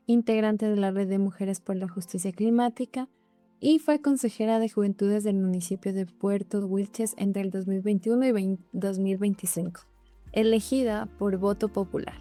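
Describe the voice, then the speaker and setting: A young woman with a mellow, warm voice reads a guest's introduction in a formal, heartfelt way. She co-hosts a podcast, and soft music plays faintly behind. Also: medium pitch. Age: young adult. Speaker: podcast co-host.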